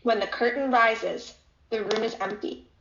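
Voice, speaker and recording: A woman's voice: fairly high pitch, fairly even intonation, unhurried, a bit bright, slight background noise. Woman narrator, echoey muffled recording. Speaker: narrator reading stage directions. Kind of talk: scripted narration. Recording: echoey room, dull lo-fi audio.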